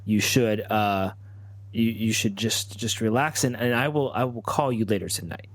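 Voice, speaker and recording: A man's voice: fairly low pitch, normal speed, natural voice. A man roleplaying a nervous, evasive family man in a tabletop game, stammering and flustered as he talks. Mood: placating.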